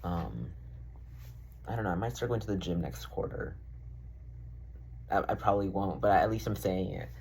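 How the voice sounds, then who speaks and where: A man's voice: low voice, animated, normal speed, gravelly, pauses often, darkish tone, a little hiss or hum. A young man rambling casually in a diary-style vlog, full of fillers, swearing and self-deprecating asides. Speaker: vlogger.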